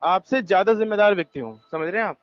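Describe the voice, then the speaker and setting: A man's voice: high-pitched, fast talker, no echo. A man in a tense phone conversation, explaining himself insistently and repeating his points. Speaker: resort manager on a phone call. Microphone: telephone. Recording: muffled lo-fi recorded phone call.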